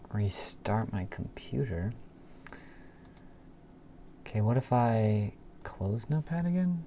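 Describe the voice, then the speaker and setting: Slow, gravelly man muttering to himself. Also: mid-range voice, mellow. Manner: muttering to himself, murmured asides with sighs and hums. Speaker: programmer live streamer. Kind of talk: casual thinking aloud while coding. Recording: noisy room with keyboard clicks. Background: computer keyboard typing and clicking.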